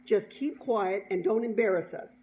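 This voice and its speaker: A woman's voice: medium pitch, moderate pace, mellow, close to an everyday voice. Woman voicing a bossy teenage girl, muffled sound. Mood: insistent warning. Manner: insistent, pleading, repeats orders. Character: bossy, easily embarrassed teenage daughter. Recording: dull lo-fi sound, background noise.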